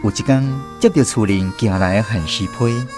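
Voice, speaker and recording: A man's voice: lowish voice, moderate pace, loud music behind the voice, natural voice. A man with a Taiwanese accent narrating a radio drama as a grown grandson fondly recalling his grandfather. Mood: reflective. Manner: expressive, reminiscing storytelling.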